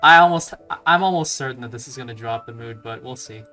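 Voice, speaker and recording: Male let's player: mid-pitched, bright tone, casual slangy think-aloud delivery, light music in the background. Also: fast talker. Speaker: let's play host. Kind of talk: casual game commentary.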